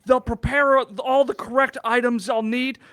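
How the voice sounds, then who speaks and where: Friendly dwarf king played by a woman, high voice, chatty and offbeat, very dry close sound. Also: steady tone, measured pace, fairly bright tone, dry recording. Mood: chatty, rambling. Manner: rambling, casual slangy hesitations. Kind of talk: improvised tabletop roleplay.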